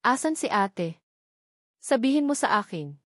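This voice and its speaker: A woman's voice: on the high side, sing-song, unhurried, fairly bright tone, dry recording, heavily acted. Woman voicing a cold, proud fairy swordswoman, dry sound. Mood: cold, demanding. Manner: cold, demanding.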